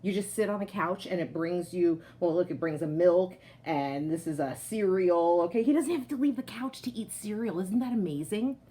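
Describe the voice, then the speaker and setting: A woman's voice: on the low side, normal speed, few pauses, dark tone. A woman speaking like a teacher at story time, reading aloud to children and chatting in explanatory asides, often checking in with her listeners.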